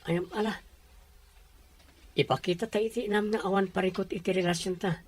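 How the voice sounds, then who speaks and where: A poor young Filipino sweetheart, played by a male actor, speaks in a noticeably husky voice. He is humble, sincere and respectful, earnest in a radio drama romance. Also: sits high, steady tone, brisk. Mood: hurt, determined. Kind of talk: Ilocano radio drama acting.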